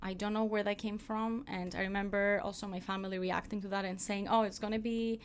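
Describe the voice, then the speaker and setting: A woman's voice: medium pitch, little pitch movement, brisk, clear, resonant tone. Thirtysomething woman from Greece, chatting. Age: 30s. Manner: friendly, laughs easily, hesitant fillers. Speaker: podcast guest, coach. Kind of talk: casual podcast chat.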